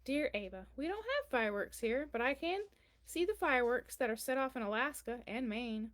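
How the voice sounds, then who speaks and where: A woman's voice: fairly high pitch, lively intonation, brisk, natural voice. A woman voicing a kindly, very old man who answers a child's letters in a storybook, reassuring and explanatory. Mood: cheerful, gentle. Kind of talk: children's storybook read-aloud.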